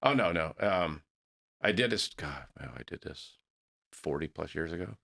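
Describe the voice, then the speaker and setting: A man in his fifties with a deep voice, hosting a podcast and telling rambling, stop-start stories in a casual interview. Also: animated, moderate pace, creaky, bright, forceful tone. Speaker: podcast host.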